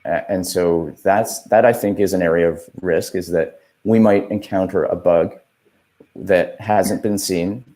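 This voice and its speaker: A man with a deep, soft-edged voice talks as an adviser in a casual work meeting. He explains in a measured way, qualifying his points as he goes. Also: steady tone. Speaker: streaming software adviser.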